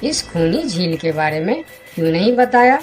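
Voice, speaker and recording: A woman voicing friendly talking forest animals in a cartoon fable, with expressive, measured delivery. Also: lowish voice, soft background music. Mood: surprised, reproachful. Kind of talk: cartoon story character dialogue.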